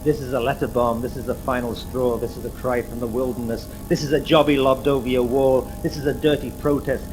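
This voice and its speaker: A man's voice: mid-range voice, low-quality recording. A man performs poetry in an emphatic, incantatory, defiant manner.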